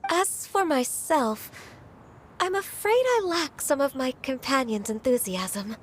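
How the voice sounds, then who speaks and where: A woman voicing a gentle, polite young woman official who speaks softly and hesitantly. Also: high-pitched, lively intonation, a little slow, slight background noise. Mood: earnest. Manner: soft-spoken, hesitant and polite.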